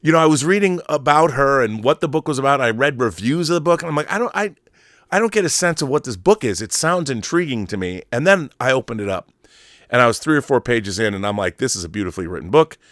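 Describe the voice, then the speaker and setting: A man's voice: medium pitch, lively intonation, fast talker, fairly bright tone, dry recording, clean studio sound. A middle-aged man giving casual, rambling opinion commentary in an emphatic, conversational way, often digressing. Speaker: host of a film and book commentary show.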